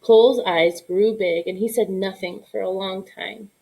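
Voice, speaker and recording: Woman, storybook reader, expressive read-aloud style, mid-range voice. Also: mid-range voice, measured pace, vocal fry, rough recording.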